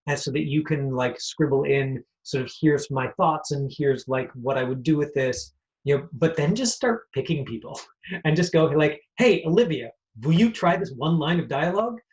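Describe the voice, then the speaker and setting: A man hosting an online class informally, haltingly repeating phrases as he goes. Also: fairly high pitch, expressive. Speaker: host and acting teacher. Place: on a video call. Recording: dull video-call audio.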